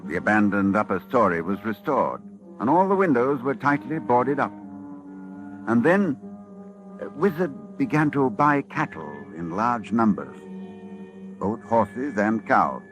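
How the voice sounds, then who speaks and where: An actor playing an educated, grave university doctor in 1940s radio horror, formal in manner, with dramatic pauses. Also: male voice, mid-range voice, sing-song. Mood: grave storytelling. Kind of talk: scripted first-person narration, 1940s radio horror. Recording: old radio drama with music behind.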